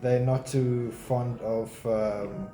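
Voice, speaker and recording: A man's voice: mid-range voice, fairly even intonation, extremely slow, warm tone. A young man from South Africa chatting casually as a customer, hesitant with frequent ums and restarts. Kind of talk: casual chat. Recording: echoey room, faint music.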